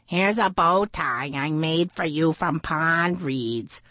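A woman voicing an eager, affectionate young female duck with a happy, excitable manner in a children's storybook. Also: mid-range voice, takes it slowly, no echo. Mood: excited, affectionate.

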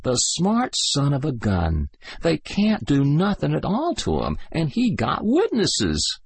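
A man voicing a naive, slow-witted young American soldier in a read-aloud story. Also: on the high side, wildly swooping pitch, gravelly, husky, dry recording, voice pushed up, full character voice. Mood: admiring. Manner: plain, slow-witted, wondering. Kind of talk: audiobook reading.

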